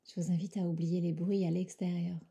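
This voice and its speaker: A woman leading a guided meditation in a soft, calm, soothing voice that often drops to a near whisper, with unhurried phrasing. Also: lowish voice, creaky, breathy edge. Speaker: yoga nidra guide.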